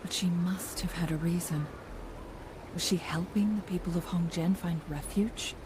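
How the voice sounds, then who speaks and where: A woman voicing a composed, knowledgeable young guide in a fantasy video game, speaking in a measured, formal, thoughtful way. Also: on the low side, steady tone, background noise, lightly acted. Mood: calm, resolute. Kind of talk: scripted video game voice acting.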